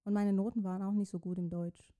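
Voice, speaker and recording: A woman's voice: mid-range voice, flat delivery, fast talker, mellow. A young woman from Iran, Persian as her first language, answering interview questions casually and searching for words with many restarts. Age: young adult. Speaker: interview guest, student writer.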